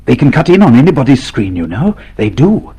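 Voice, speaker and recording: A man playing a clerk's sly inner alter ego in a radio drama, breathy, insinuating and goading. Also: medium pitch, big pitch swings, brisk, dark tone. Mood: sly, probing.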